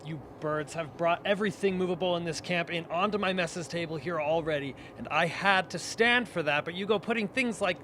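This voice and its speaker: A man's voice: high voice, brisk, hardly any pauses. A man playing a cranky, blustering expedition cook in a radio play, indignant in manner. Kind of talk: dramatic radio play acting. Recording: clear steady background noise.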